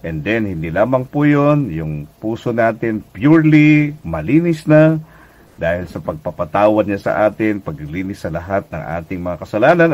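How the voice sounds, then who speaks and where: A man's voice: on the low side, darkish tone, lo-fi sound. A Filipino man preaching as a pastor and radio host, speaking conversationally and earnestly, exhorting listeners with polite address.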